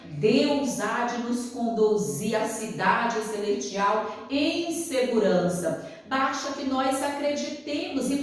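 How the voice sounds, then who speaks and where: An older woman in her sixties leads a devotional Bible study. She keeps posing questions to her listeners and then answering them herself. Her voice sits at an ordinary middle pitch and comes from some distance off the mic, and a very echoey room rings around it. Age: 60s. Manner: asks rhetorical questions and answers them, addresses listeners as dear ones.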